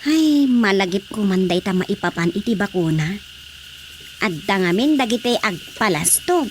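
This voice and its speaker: A woman playing an expressive, gossipy wife in a radio drama comedy, with an Ilocano accent and an exclaiming delivery. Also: mid-range voice, normal speed, a little hiss or hum, close to an everyday voice. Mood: animated gossip. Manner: animated, exclaiming.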